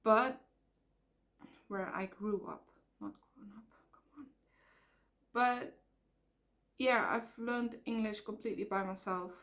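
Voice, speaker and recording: A woman's voice: medium pitch, fairly even intonation, slow pace, long pauses, a bit bright. A woman from the Netherlands with a Dutch accent, talking in an earnest, rambling way with fillers and self-corrections in a personal vlog. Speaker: vlogger. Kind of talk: casual personal vlog talk.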